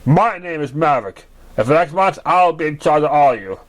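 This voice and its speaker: A man's voice: on the high side, expressive, a little fast, natural voice. A man voicing a kindly but commanding stallion instructor in an amateur story reading. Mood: commanding, friendly. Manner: authoritative, formal. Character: booming but kindly senior stallion weather team leader.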